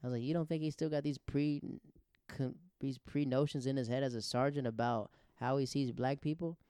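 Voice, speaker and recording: Mellow-voiced man chatting casually. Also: medium pitch, fairly even intonation, brisk, clear, resonant tone, no echo. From grew up abroad in the Netherlands and Japan. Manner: conversational, often acts out quoted remarks. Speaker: host. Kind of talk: casual personal monologue on social issues.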